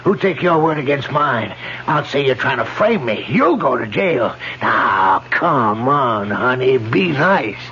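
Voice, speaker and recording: An actor playing a sleazy, pushy, menacing motorist in a 1970s radio drama, with a gravelly voice and a leering, bullying manner. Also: male voice, big pitch swings, slow pace, pushed and bright, exaggerated voice. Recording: dull noisy vintage radio, car rumble.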